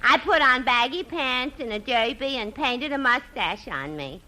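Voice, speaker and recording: Chatty switchboard girl, 1950s radio comedy: high, bright, brassy New talk, gullible and starstruck. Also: expressive, measured pace, exaggerated voice, low-quality recording. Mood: gossipy, teasing.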